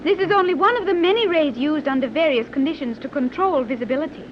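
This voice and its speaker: Actress as a formal island submarine pilot, old radio. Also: female voice, sits high, fast, natural voice. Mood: calm, assured. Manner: formal, precise, stilted phrasing without contractions. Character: coolly confident young woman submarine pilot from hidden advanced island. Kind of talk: 1930s radio serial acting. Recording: dull, noisy old 1930s radio recording.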